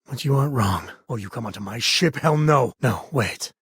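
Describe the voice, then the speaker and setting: An actor playing a wry, easygoing space cowboy explorer in a video game, a man with a husky, raspy voice and folksy, laid-back phrasing. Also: medium pitch, expressive, moderate pace, fairly bright tone, no room sound, studio quality, heavily acted. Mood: guarded. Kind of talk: video game character voice lines.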